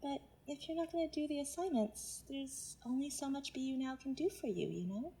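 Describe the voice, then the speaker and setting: An actress playing a patronizing self-help mentor who presses with controlling, condescending insistence in an audio drama. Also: female voice, sits high, a little fast, mellow. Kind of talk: naturalistic audio drama acting.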